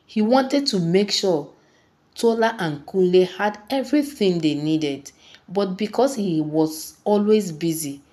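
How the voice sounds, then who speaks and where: A woman narrating a scripted folktale in a deliberate, dramatic storytelling manner. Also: fairly low pitch, mellow.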